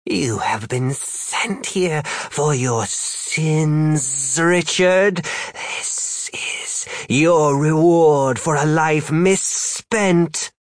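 A man voicing an evil female demon in an audiobook story, theatrical and menacing, with breathy, drawn-out hissing S sounds. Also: a little high, dry recording, voice pushed up. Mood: gloating menace. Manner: slow, grandiose, cackling menace.

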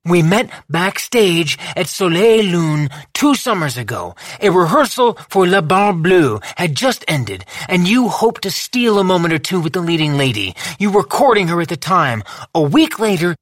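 An audiobook reader voicing a bold, fiery young woman fighter who swears freely, with raised pitch and an urgent, pleading delivery. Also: big pitch swings, moderate pace, hardly any pauses, no room sound, clean studio sound. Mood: earnest. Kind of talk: audiobook character voice.

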